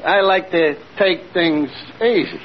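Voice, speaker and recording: An actor playing a glib, shifty, easygoing small-time crook in a 1940s radio comedy. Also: male voice, high-pitched, slow. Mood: sly. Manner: evasive, glib, slangy. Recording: muffled, noisy old radio recording.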